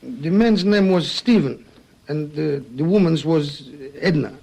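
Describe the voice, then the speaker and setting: Guarded male suspect, vintage radio crime drama. High-pitched, creaky, stiffly phrased, defensive. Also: measured pace, mellow, exaggerated voice. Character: guarded foreign male suspect under questioning. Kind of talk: old-fashioned radio drama acting.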